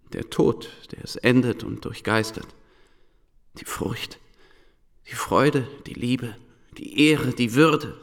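An actor playing an earnest, idealistic teacher back from war in a radio drama, reflective and subdued. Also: male voice, mid-range voice, gravelly. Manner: reflective, halting, solemn. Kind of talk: intimate radio drama acting.

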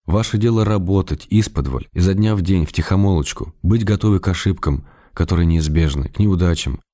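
An actor playing a wry, affectionate middle-aged writer who reads his letters in a measured, gently ironic way for a radio drama. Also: deep voice. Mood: calm, consoling.

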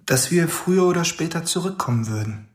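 An actor playing a reserved, apologetic young man in a radio drama, speaking quietly and tentatively. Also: on the high side, lively intonation, brisk, roomy sound, lightly acted. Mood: tentative, polite. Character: reserved, apologetic grown brother returning home. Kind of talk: naturalistic radio drama dialogue.

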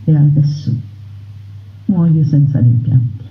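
A woman's voice: low voice, takes it slowly. A woman performing a theatrical monologue as a defiant peasant brigand woman, speaking with impassioned, declamatory force. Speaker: narrator voicing a brigand woman. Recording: roomy dull radio broadcast.

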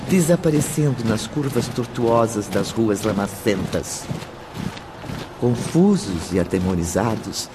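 A man's voice: mid-range voice, noisy background. A man narrating a scripted radio drama in a solemn, theatrical manner.

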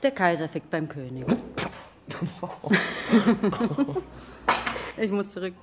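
An actress playing a bold, brisk, saucy young police stenographer in a radio drama. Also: female voice, fairly low pitch, lively intonation, heavy background noise, natural voice. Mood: curious, saucy.

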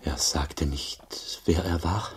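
A man acting an old, revered monk in a German radio drama, speaking in a hushed, solemn, measured way with a breathy, gravelly voice. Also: rumbling low, fairly even intonation, in a whisper. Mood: grave, restrained. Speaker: actor and first-person narrator.

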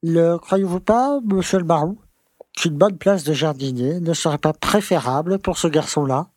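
An actor playing a pompous, grumbling conservative small-town mayor who lectures and scolds in a French audio drama. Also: male voice, on the high side, normal speed, a little mellow, dry recording, studio quality, close to an everyday voice. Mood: sceptical, pompous.

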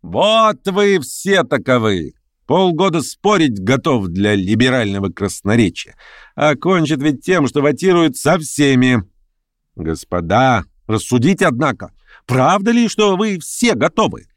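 A man voicing a domineering, impatient political agitator in an audiobook, with sharp, goading and mocking oratory. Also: mid-range voice, very animated, unhurried, no room sound, clean studio sound, pitched above the performer's own voice. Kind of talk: acted audiobook dialogue.

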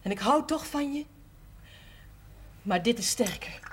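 A woman's voice: a little high, animated, measured pace, slightly rough, exaggerated voice. A woman playing a resigned, matter-of-fact young wife and mother in a naturalistic television drama. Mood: subdued, resigned. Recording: noisy old television drama soundtrack.

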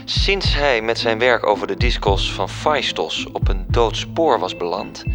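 A measured, low-voiced male storyteller. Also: lively intonation, over music. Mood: measured, serious. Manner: measured, formal, literary. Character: male storyteller reporting human affairs to his superior. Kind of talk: scripted narration in a radio play.

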